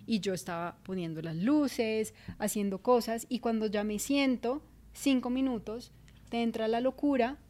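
Calm young woman, bit high. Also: measured pace. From Colombia. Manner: calm, reasoning, explains her view. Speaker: podcast co-host. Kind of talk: casual chat.

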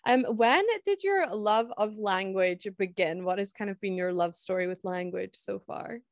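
A woman's voice: mid-range voice, moderate pace, dry recording. Woman interviewer, affirming tone, dry close sound. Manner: encouraging, affirming.

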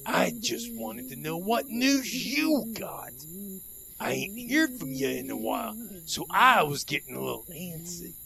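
A man's voice: high voice, big pitch swings, measured pace, vocal fry, a crowd in the background, full character voice, poor audio. A man playing an antsy, touchy outlaw sidekick with an American cowboy drawl in a western audio drama. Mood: uneasy.

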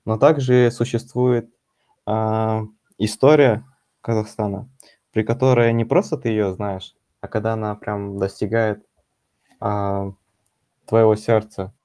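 A man's voice: on the low side, fairly even intonation, measured pace, warm tone, clear, resonant tone. A young man in a casual discussion, answering hesitantly and thinking aloud as he talks.

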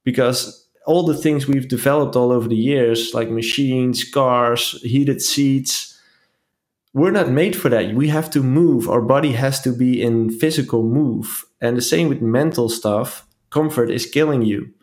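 A man's voice: mid-range voice, unhurried, darkish tone. Earnest man on a podcast. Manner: earnest, restarts and repeats phrases. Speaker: podcast co-host. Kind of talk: casual podcast chat.

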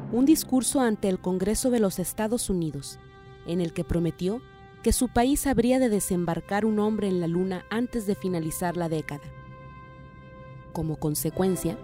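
Mellow female documentary narrator. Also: mid-range voice. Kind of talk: scripted narration.

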